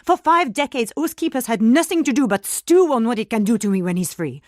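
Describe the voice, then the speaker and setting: A woman's voice: fairly high pitch, lively intonation, fairly quick, no echo, close to an everyday voice. An actress playing an imperious, cunning, ruthless older aristocratic woman in a video game, speaking in a haughty, dry, theatrical way. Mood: agitated, calculating. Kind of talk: dramatic video game character acting.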